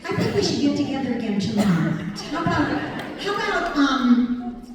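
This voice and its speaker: A woman's voice: sits high, sing-song, moderate pace, background noise. A woman in her seventies performing a stand-up comedy set, chatting with the crowd in a self-deprecating way. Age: 70s. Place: large theater stage. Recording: echoey theater recorded from far off. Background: audience laughter.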